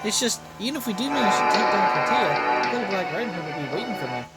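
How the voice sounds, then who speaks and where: A man's voice: high voice, very animated, fast, loud music behind the voice, voice pushed up. A man voicing an earnest, self-doubting sword fighter in a video game, blunt, hot-headed and colloquial. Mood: rueful, awed. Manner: colloquial, candid.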